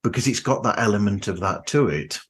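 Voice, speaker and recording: British man with a lowish voice. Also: fairly quick, breathy edge. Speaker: podcast host. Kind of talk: casual chat.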